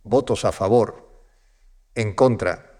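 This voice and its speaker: A man's voice: fairly low pitch, slow, dark tone. Formal congressional chairman, authoritative. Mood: formal, procedural. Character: formal male congress speaker presiding over a tense session. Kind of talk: historical audio drama acting.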